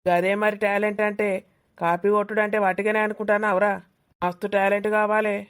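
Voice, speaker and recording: A woman's voice: mid-range voice, little pitch movement, quick pace, clean tone, natural voice. Woman as a cocky young village man, Telangana accent, mocking, teasing, colloquial, cartoon comedy. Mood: boastful, mocking. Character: cheeky young male student, teasing, boastful exam cheat.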